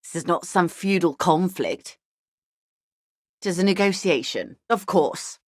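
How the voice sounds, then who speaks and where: A wealthy older corporate negotiator, shrewd and urbane. Her voice sits fairly low and is recorded close and dry. She speaks in measured, polished lines with a wry edge, as video game dialogue. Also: lively intonation, no room sound. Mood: wry, composed.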